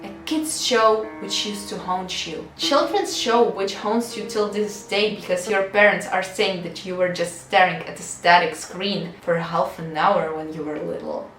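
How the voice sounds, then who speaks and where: A woman's voice: lowish voice, measured pace, few pauses, fairly bright tone. A young adult woman narrating a devlog with wry, self-deprecating humor and theatrical emphasis, moving between scripted lines and casual asides. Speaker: game developer and video creator. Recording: echoey room, distant mic, music underneath.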